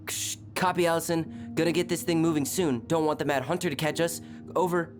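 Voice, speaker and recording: An actor voicing a nostalgic, easygoing young adult twin brother in a naturalistic video game style, dry and gently teasing. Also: male voice, fairly high pitch, fairly even intonation, fairly quick, soft background music, lightly acted. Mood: teasing, playful.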